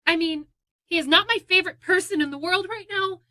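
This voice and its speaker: High, bright, polite woman played by an actress. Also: a little fast, no room sound, studio quality. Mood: concerned, hesitant. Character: Diana, protective, polite wife of a private playwright. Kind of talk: modern radio play acting.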